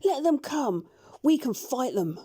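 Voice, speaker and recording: A woman voicing an excited, scrappy male dog eager to fight. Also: high-pitched, measured pace, slightly rough, pitched above the performer's own voice, heavily acted.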